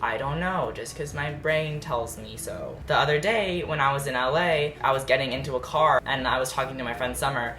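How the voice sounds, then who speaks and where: A man's voice: medium pitch, a little fast, few pauses, pushed and bright. Teen guy rambling while he bakes, first language japanese, talks real articulate, echoey kitchen with faint music. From United States. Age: late teens. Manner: rambling, carefully articulated words. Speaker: YouTuber. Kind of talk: casual baking vlog chat. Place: home kitchen.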